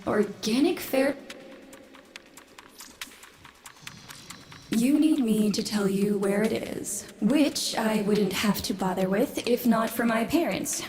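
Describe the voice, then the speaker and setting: A woman's voice: a little high, a little slow, few pauses, a little mellow, slight background noise, lightly acted. A woman voicing a jaded, scornful scientist daughter in a video game, wry and condescending. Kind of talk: scripted video game character acting.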